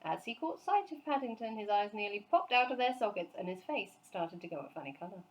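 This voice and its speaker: A woman storyteller reading a children's book aloud in an expressive narrating style. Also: mid-range voice, brisk.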